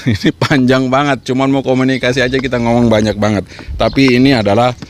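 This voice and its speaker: A man's voice: mid-range voice, flat delivery, a little fast, a little hiss or hum. A man giving an informal instructional vlog talk, encouraging and repeating his points as he explains. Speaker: amateur radio vlogger and trainer.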